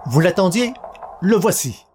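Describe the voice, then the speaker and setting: A man's voice: high-pitched, big pitch swings, a little slow, darkish tone, slight background noise. A man presenting a podcast in a scripted hosting style, introducing segments. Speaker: podcast host.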